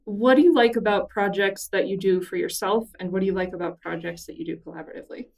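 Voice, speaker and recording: A woman's voice: medium pitch, fairly even intonation, fast, gravelly, darkish tone, distant mic. A woman hosting a podcast, asking friendly interview questions and laughing easily.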